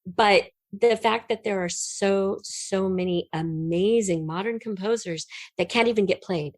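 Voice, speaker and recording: A woman's voice: mid-range voice, unhurried, no room sound. A middle-aged woman being interviewed, telling stories in an emphatic, candid, conversational way. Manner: emphatic, candid, laughs readily. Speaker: interview guest, audio drama writer. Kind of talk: animated conversational storytelling in an interview.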